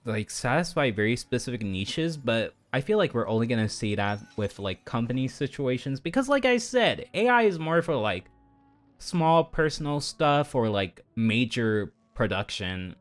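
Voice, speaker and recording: A man with a medium-pitched voice talks casually to his livestream while gaming. He is opinionated and rambles, circling back and repeating himself as he thinks out loud. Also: very animated, moderate pace. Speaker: VTuber livestreamer.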